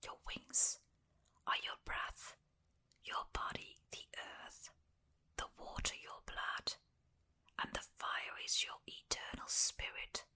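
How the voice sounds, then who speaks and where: Slow whispering woman, ASMR style. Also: pushed and bright. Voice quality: breathy, unvoiced whisper. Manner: deliberate, short phrases with pauses. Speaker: spiritual ASMR host. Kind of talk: whispered ASMR spiritual teaching, scripted.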